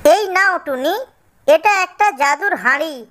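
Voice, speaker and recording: A woman voicing a gentle, grateful magical fairy in a cartoon, sweet and reassuring. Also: very high-pitched, expressive, takes it slowly, pitched above the performer's own voice, full character voice.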